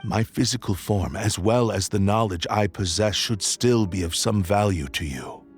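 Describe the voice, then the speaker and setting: A man's voice: lowish voice, normal speed, soft background music, close to an everyday voice. A man playing the proud, solemn spirit of a cursed samurai sword in a video game, speaking in formal, archaic phrases. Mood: stern, bargaining. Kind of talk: dramatic video game voice acting.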